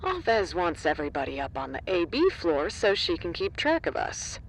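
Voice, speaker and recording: A man with a notably high voice plays a brisk facility staffer in an audio drama. He talks in short, blunt, defiant bursts, conversational and to the point. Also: huge pitch swings, gravelly, very noisy, full character voice. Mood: urgent, conspiratorial.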